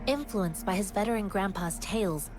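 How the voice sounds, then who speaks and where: An actress playing an earnest, polite young martial disciple in a video game, speaking in a formal, measured, reflective way. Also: female voice, medium pitch, lively intonation, background noise, natural voice. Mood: solemn, reflective. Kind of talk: video game dialogue acting. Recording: background music.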